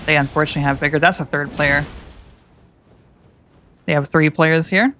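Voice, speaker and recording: A woman's voice: sits low, fairly even intonation, moderate pace, fairly bright tone, a little hiss or hum. A woman streamer with a feminine voice, chatting casually and rambling with many restarts while she plays. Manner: rambling, self-interrupting, joking. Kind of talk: casual gaming stream chat.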